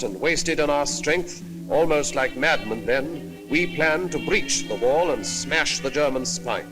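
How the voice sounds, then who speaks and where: A man narrates a 1940s war film over prominent music. His delivery is solemn and grand, with poetic, declamatory phrasing at a middling pitch, on a vintage film soundtrack. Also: low-quality recording. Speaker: documentary narrator. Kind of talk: scripted 1940s wartime film narration in blank verse.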